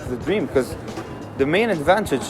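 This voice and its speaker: A man's voice: on the high side, animated, normal speed. A man from Morocco in his twenties, answering interview questions in a candid, easygoing way with frequent fillers. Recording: music under voice.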